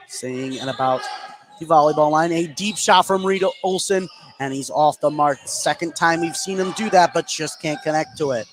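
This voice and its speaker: A man calling live high school basketball on the radio as play-by-play commentator, getting excited on big plays. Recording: gym noise behind the voice. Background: gym ambience, bouncing basketball.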